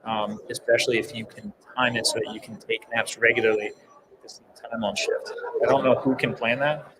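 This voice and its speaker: A man's voice: medium pitch, flat delivery, a little fast. A man co-hosting a casual podcast, enthusiastically explaining sleep habits with many fillers. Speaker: podcast co-host. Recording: noisy live event floor.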